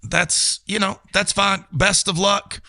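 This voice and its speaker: Higher-voiced American man talking college sports. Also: flat delivery, slow, creaky, bright, forceful tone, dry recording, clean studio sound. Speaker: sports show co-host. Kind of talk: casual sports commentary.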